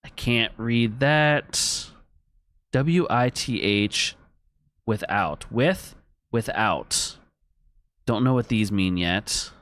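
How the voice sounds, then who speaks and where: A man's voice: medium pitch, drawn-out words, pauses often, a bit bright, no echo, studio quality. A man streaming a video game, thinking aloud, chatting with his viewers and reading on-screen text as he plays. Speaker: gaming streamer. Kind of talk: casual let's-play commentary.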